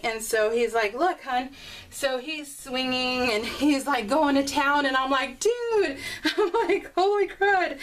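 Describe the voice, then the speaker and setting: A woman's voice: on the high side, poor audio. Giggly, chatty middle-aged woman. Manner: chatty, giggly, animated retelling. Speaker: scrapbooking vlogger. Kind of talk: casual storytelling while crafting.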